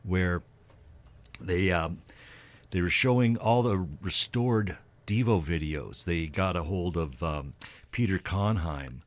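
Low-pitched man chatting about music on radio. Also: slow pace, dry recording. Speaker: radio co-host. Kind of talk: casual music chat.

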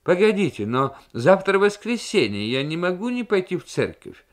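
A man voicing a fussy male German official with a high, cockerel-like voice, protesting emphatically in a radio novel reading. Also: very animated, normal speed. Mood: agitated, protesting.

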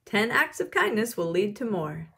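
Woman acting emphatic, husky storybook schoolteacher. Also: mid-range voice, sing-song, moderate pace, bright, forceful tone, exaggerated voice. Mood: encouraging. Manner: emphatic, instructive. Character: firm, encouraging woman schoolteacher who speaks in rhymes.

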